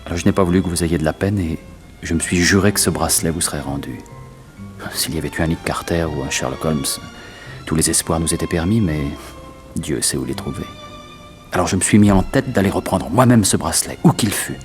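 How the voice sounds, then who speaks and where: A middle-aged actor playing a suave, romantic gentleman thief with tender, courtly, theatrical phrasing in a 1960s French radio serial. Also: low voice, a little fast, soft background music, close to an everyday voice. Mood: tender, wistful.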